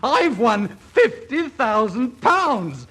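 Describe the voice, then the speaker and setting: An actor playing a carefree, boyish, posh young gambler in a 1950s radio comedy, exclaiming excitably. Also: male voice, very high-pitched, very slow, breathy edge, heavily acted. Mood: elated. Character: excitable, carefree young English ne'er-do-well gambling son. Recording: 1950s radio play recording.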